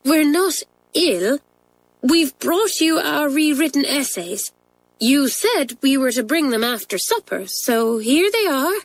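A woman voicing a bold, impulsive schoolgirl in a storybook, with a brisk, forthright manner. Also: mid-range voice, big pitch swings, slightly rough, no room sound, poor audio. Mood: nervous.

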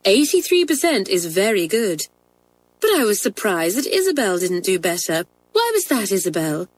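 A woman's voice: mid-range voice, sing-song, moderate pace, dry recording. A woman voicing a strict but fair schoolmistress in a storybook, firm and precise. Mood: approving, questioning. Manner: firm, precise, scolding authority.

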